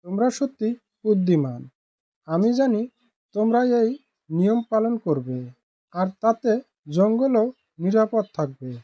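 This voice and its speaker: A woman voicing a calm, dignified talking tiger, guardian of the jungle, in an animated fable, with solemn, measured pleading. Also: mid-range voice, no room sound, lo-fi sound. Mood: calm, grateful.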